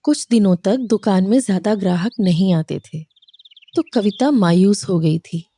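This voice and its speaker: A woman's voice: medium pitch, a little slow, mellow, no echo. A woman narrating a scripted moral story for children.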